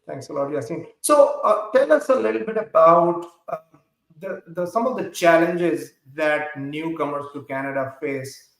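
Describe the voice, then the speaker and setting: A man hosting a podcast interview, asking lively, quick questions with fillers. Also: medium pitch. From Pakistan. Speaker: podcast host. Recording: echoey room with faint music.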